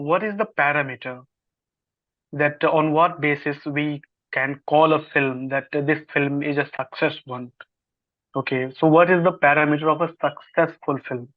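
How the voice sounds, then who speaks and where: A man's voice: a little high, no echo. A young man asking a question in an online session, hesitant and polite with many fillers. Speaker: audience member asking a question. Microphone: video call. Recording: dry lo-fi call audio.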